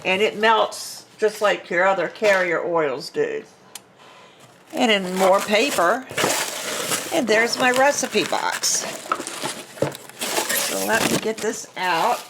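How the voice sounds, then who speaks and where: A woman's voice: mid-range voice, slow pace, pauses often, heavy background noise. An older woman with a congested, stuffy nose, chatting casually and rambling a little as she unboxes a craft kit at home on her camera mic. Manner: chatty and rambling, with restarts and small laughs. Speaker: vlogger unboxing a craft subscription box.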